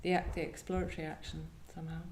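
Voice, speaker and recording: A woman's voice: low voice, flat delivery, very fast, gravelly, a little mellow, faint music behind. A woman, a poet speaking as a guest at a live literary event in a reflective style. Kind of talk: reflective discussion and poetry reading. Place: echoey indoor venue at a live event. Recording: roomy, echoing live venue.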